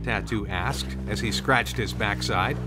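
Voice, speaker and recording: A man's voice: on the low side, measured pace, over loud music. A man reading scripted storybook narration as the narrator of the tale.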